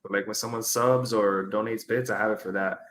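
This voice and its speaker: A man's voice: on the low side, brisk. Laid-back man over noise. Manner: relaxed, agreeable, short replies. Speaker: guest streamer. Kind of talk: casual chat. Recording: noisy background hiss.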